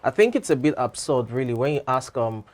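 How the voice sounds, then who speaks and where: Measured man giving commentary. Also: mid-range voice, expressive. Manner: measured, frequent "you know" and "um" fillers. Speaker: studio guest commentator. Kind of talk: studio talk show commentary.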